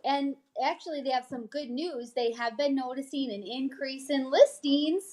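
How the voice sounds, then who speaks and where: High-pitched American woman, chatty. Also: normal speed, darkish tone. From United States. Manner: informal, frequent check-in tags like "okay?". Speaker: host, real estate agent reading a newsletter. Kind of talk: casual chatty livestream read-aloud with asides.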